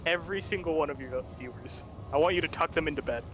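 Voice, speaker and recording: A man's voice: fairly high pitch, fast talker, over music. A man chatting while gaming with a friend, dry and teasing. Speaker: co-player. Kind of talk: casual gameplay chat.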